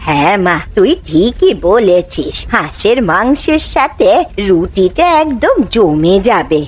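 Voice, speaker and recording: A woman's voice: mid-range voice, very animated, slow pace, breathy edge, heavily acted. A woman voicing a caring, decisive village aunt in cartoon dialogue, homely and affectionate. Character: brisk, caring aunt who cooks for the family.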